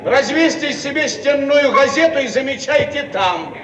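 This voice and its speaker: Pompous high-pitched bureaucrat, echoey old recording. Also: male voice, expressive, moderate pace, lo-fi sound, crowd noise. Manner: pompous, officious, oratorical. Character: pompous, self-important Soviet bureaucrat and bossy husband. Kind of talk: theatrical satirical radio comedy acting. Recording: old radio archive, echoey, distant, noisy.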